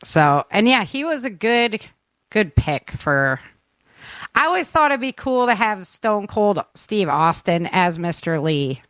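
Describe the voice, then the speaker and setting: A woman's voice: medium pitch, big pitch swings, unhurried, fairly bright tone, dry recording. A woman hosting a podcast in casual, upbeat and informal chat. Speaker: podcast host.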